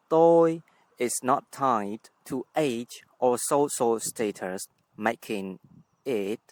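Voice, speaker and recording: A man from Vietnam with a Vietnamese accent, a native speaker teaching his language in English with careful, deliberate explanations. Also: medium pitch, dry recording, poor audio. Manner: careful, deliberate, spells out words. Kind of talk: scripted language lesson.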